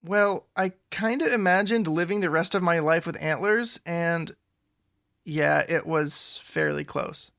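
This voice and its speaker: An actor playing a troubled, awkward, wry man with supernatural troubles in an audio drama, his voice creaky. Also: a little high, a little slow, a bit bright. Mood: resigned.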